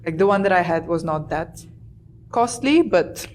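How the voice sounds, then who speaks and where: A woman's voice: lowish voice, lively intonation, moderate pace, a little mellow. A young woman with a Ukrainian accent, chatting candidly as a podcast guest, with plenty of fillers. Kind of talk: casual podcast chat. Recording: faint background noise.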